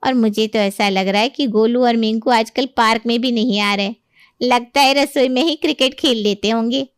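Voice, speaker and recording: A woman's voice: on the high side, moderate pace, clean tone, dry recording, clean studio sound, lightly acted. A woman voicing a nosy middle-aged neighbourhood auntie who taunts and mocks in a moral story. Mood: mocking.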